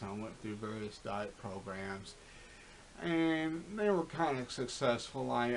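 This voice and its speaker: A man's voice: mid-range voice, slight background noise, low-quality recording. A man in his fifties talking candidly to camera in a rambling, profane way, full of fillers and restarts. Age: 50s. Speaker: fitness vlogger. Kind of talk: casual monologue to camera.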